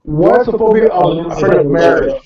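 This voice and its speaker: High-voiced sardonic man, very echoey. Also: moderate pace. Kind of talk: casual joking round-table chat. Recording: very echoey, distant, muffled mic.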